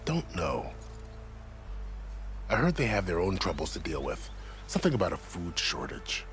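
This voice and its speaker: An actor playing a grave, courteous gate commander in a video game, speaking in a measured, formal manner. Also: male voice, sits low, wildly swooping pitch, fairly bright tone, soft background music, exaggerated voice. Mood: measured, informative. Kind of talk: game cutscene dialogue.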